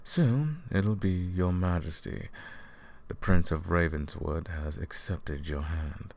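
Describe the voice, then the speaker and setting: A man's voice: deep voice, slow, creaky, exaggerated voice. A man playing a falsely sympathetic, condescending royal advisor in a fantasy audio roleplay, speaking to the listener in a courtly, patronizing, theatrical way. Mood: smug, theatrical.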